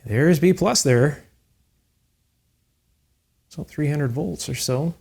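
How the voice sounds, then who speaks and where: A man hosting an electronics restoration video, explaining each step calmly and methodically, with frequent fillers. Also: fairly high pitch, slow pace, vocal fry, slightly rough. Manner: calm, methodical, with fillers like "uh" and "you know". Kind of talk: hands-on technical explanation.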